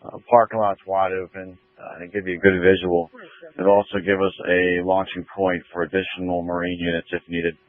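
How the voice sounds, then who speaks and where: A man with a low voice speaks over a narrowband emergency scanner, sounding very even in pitch. He is a fire officer giving procedural, matter-of-fact radio reports. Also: brisk. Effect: two-way radio.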